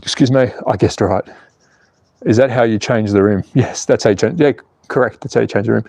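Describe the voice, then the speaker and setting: A cheeky Australian man in his forties talks viewers through a slot car build on a stream, swearing freely and joking with the chat. His voice is mellow and dark. The sound is close and dry. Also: lowish voice, fairly quick, vocal fry, no room sound. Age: 40s. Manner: cheeky, swearing, laughs at his own jokes, reads out chat. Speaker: slot car channel host. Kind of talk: casual livestream chat.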